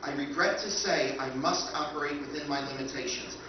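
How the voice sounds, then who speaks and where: A man's voice: fairly high pitch, brisk, close to an everyday voice. Echoey, solemn robot lifeboat, acted by a man. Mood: dutiful, firm. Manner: formal, pleading, ceremonious. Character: sentient lifeboat machine, female-addressed, dutiful, protective, mournfully solemn. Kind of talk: 1950s radio drama acting. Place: live convention stage performance. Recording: distant, echoey hall, dull, noisy live recording.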